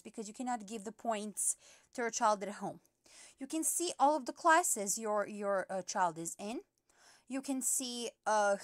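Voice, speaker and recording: A woman's voice: a little high, dry recording, clean studio sound. A woman teacher patiently walking parents through an app step by step, with frequent fillers. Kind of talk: app tutorial screen recording.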